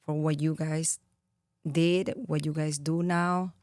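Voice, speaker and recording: Slow, low-voiced Venezuelan woman. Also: little pitch movement, darkish tone, no echo, clean studio sound. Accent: Venezuelan Spanish. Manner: reflective, earnest, with frequent pauses and fillers. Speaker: guest. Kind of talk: interview conversation.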